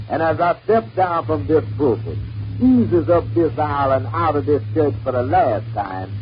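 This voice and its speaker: A man's voice: medium pitch, dull audio, close to an everyday voice. A man performing a comic mock sermon as an exasperated, foul-mouthed, folksy preacher scolding his stingy flock. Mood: defiant. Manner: folksy, bombastic, cursing pulpit delivery. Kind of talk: mock sermon comedy monologue. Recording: noisy band-limited old 78rpm record.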